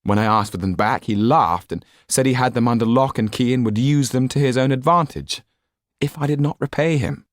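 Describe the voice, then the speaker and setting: A man's voice: mid-range voice, a little fast, dry recording, clean studio sound, pitched above the performer's own voice, close to an everyday voice. A man voicing a desperate aristocratic Frenchwoman in an audiobook, pleading and warning with imploring emphasis. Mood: anxious confiding.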